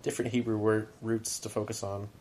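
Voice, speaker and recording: A man's voice: on the low side, level tone, a little fast, a little mellow. A man hosting a podcast in casual chat, rambling with lots of fillers and laughing often. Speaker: podcast host.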